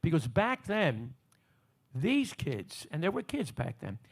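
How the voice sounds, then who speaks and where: A man's voice: fairly high pitch, wildly swooping pitch, slow pace, breathy edge. A man interviewing veteran singers in a casual chat, talkative and explaining music business history at length. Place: on a cruise ship.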